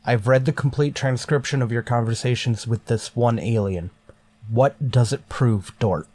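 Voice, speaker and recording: An actor playing a stern, resolute starship captain in a sci-fi radio drama, speaking with clipped authority. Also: male voice, mid-range voice, moderate pace, darkish tone, no echo, lightly acted. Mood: stern, grave. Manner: authoritative, clipped, reasoning aloud. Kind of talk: golden age sci-fi radio drama acting.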